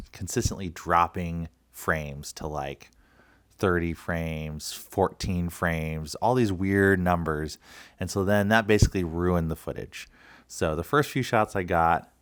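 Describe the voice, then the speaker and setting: A man talking casually as a video creator, explaining his process in a conversational way. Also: sits low. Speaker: video creator and channel host. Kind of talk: casual vlog talk and editing walkthrough.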